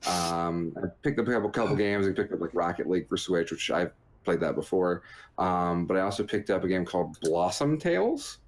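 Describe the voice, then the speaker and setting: A man's voice: sits low, animated, brisk. A man co-hosting a gaming podcast in casual chat, with a jokey, rambling way of talking. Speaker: podcast co-host reviewing video games.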